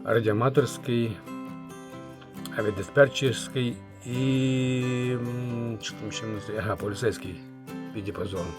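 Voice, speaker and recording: A man giving a casual hands-on review as a channel host, halting and thinking aloud, muttering remarks to himself. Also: medium pitch. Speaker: channel host, radio repairer.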